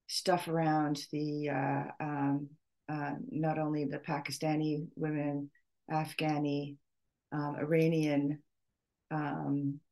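Slow, low-voiced middle-aged woman, halting. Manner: halting, searching for words, with long pauses. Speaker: podcast host. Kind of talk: off-the-cuff spoken reflection.

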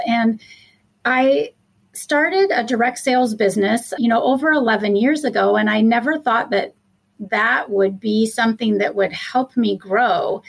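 A woman in her fifties, a coach for mothers, speaking as a podcast guest in an earnest, heartfelt way with many "you know"s. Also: a little high. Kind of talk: casual interview talk.

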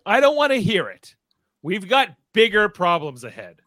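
A man's voice: sits high, huge pitch swings, normal speed, fairly bright tone, no room sound, exaggerated voice. A man playing a stern, exasperated superhero team leader with a clipped, commanding manner in a live radio play. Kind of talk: live radio theatre read.

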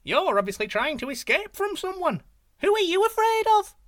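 A man's voice: extremely high pitch, sing-song, normal speed, a bit bright, no room sound, studio quality, pitched above the performer's own voice, full character voice. A man voicing a cheeky male monkey calling down from a tree in a storybook tale. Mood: teasing.

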